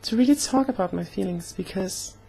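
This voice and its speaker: A young woman chats in a personal vlog with a husky, slightly hoarse voice. There is a good deal of fry in it. She speaks earnestly and haltingly, at a medium pitch. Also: dark tone, poor audio. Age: young adult. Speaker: vlogger.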